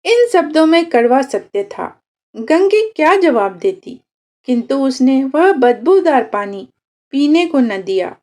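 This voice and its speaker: A woman reading a literary short story aloud as a storyteller, in a deliberate, dramatic way. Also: high-pitched, sing-song, mellow. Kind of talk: expressive scripted narration of a literary short story.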